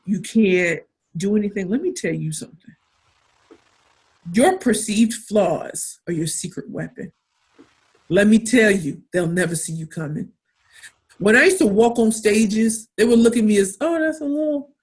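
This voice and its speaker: Emphatic motivational woman, St. Louis accent. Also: mid-range voice. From St. Louis, Missouri. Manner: emphatic, repeats key phrases for punch. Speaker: pitch coach. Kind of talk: motivational workshop talk.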